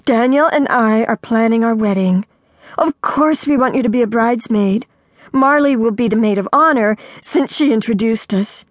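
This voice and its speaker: A cheerful, oblivious young woman planning to marry, voiced by a woman reader. She calls out breezily in a raised pitch, in a close, dry audiobook recording. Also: moderate pace, no echo, close to an everyday voice. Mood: breezy, cheerful.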